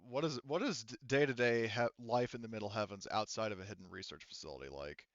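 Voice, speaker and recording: A man voicing a guarded, coolly intellectual female scientist in a tabletop game, with measured, formal, precise wording. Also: lowish voice, dry recording, dull audio, close to an everyday voice. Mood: reserved, earnest.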